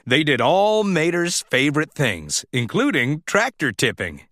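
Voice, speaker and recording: A man's voice: fairly high pitch, big pitch swings, a little slow, fairly bright tone, no room sound, clean studio sound. A man reading a children's storybook aloud as scripted narration. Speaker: storybook reader.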